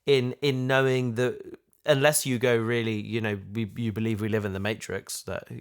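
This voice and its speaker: A man hosting a casual discussion as a writer, thinking aloud haltingly and often repeating his phrases. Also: medium pitch, flat delivery, clear, resonant tone. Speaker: writer and host of a sci-fi audio drama discussion.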